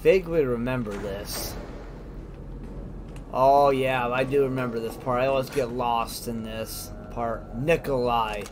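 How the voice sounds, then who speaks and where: A man's voice: medium pitch, a little slow, background noise. A man giving casual let's play commentary, thinking aloud in short remarks. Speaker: let's player. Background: music and game sounds.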